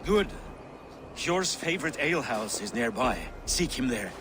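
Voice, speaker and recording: A proud, hot-tempered war councillor in a game's noisy Norse camp, formal words rising and plunging. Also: male voice, mid-range voice, big pitch swings, unhurried. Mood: scheming, intent. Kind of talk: video game dramatic acting.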